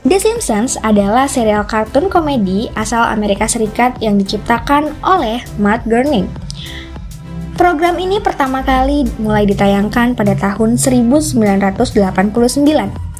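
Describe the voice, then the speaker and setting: A woman's voice: fairly high pitch, animated, moderate pace, darkish tone. A woman reading a scripted explainer narration as the narrator of a video channel. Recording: music bed under the voice. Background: background music.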